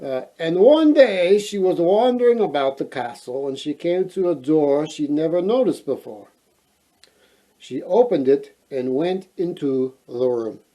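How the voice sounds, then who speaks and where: A man with a fairly high, mellow voice hosts a storytime. He reads at an unhurried pace and playfully drifts into casual asides to his listeners. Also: rough recording. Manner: playful, digressive, expressive reading. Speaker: storyteller host.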